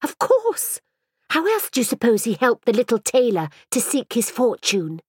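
A woman's voice: on the high side, wildly swooping pitch, normal speed, slightly rough, dry recording, voice pushed up, heavily acted. A woman reading aloud and voicing a haughty little girl who believes she is a princess, lofty and indignant.

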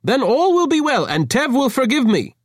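A man voicing a flighty young man who gushes in a high, theatrical way. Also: wildly swooping pitch, moderate pace, dry recording, clean studio sound, full character voice. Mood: manic cheer. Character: giddy, flighty young man, cheerfully manic.

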